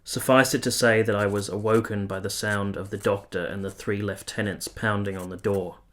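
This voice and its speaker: An actor playing a weary, earnest, lovestruck army officer who narrates a radio play in solemn, literary, confessional language. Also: male voice, on the low side, steady tone, moderate pace, lightly acted. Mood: grave, subdued. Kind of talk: radio play, first-person letter narration.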